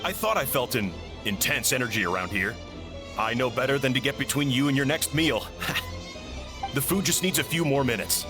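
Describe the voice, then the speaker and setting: Man acting kindly middle-aged uncle cook, mid-pitched voice, lively intonation, easygoing, teasing, music behind, video game. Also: normal speed, lightly acted. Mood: fond, teasing.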